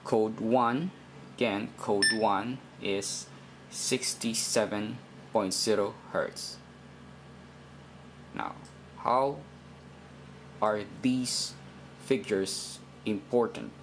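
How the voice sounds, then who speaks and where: Male tutorial presenter, deeper voice, very unhurried and halting, informal instruction over light background noise. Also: fairly even intonation, poor audio. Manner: halting, deliberate, repeats himself. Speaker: instructor in a how-to video.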